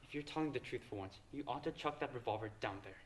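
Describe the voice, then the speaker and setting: A man's voice: medium pitch, lively intonation, quick pace, natural voice. An actor playing a suspicious, stubborn ex-policeman in a stage play, speaking in a blunt, distrustful manner. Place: stage performance. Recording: clearly noisy stage recording.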